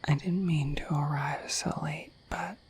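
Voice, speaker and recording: A woman voicing a shy, affectionate, dorky dragon in a bashful, hesitant breathy whisper for an ASMR roleplay. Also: low-pitched, steady tone, measured pace, noisy background. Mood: flustered, affectionate. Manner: bashful, hesitant, apologetic, rambling.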